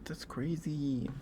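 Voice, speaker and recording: A man's voice: on the high side, noisy background. A man livestreaming ASMR, chatting casually and cooing affectionately to viewers, often dropping into a whisper close to the mic.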